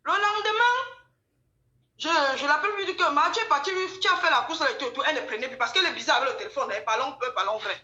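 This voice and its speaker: A woman telling gossip in a casual chat, animated and repeating phrases for emphasis. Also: high-pitched, rapid-fire, lo-fi sound. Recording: echoey room.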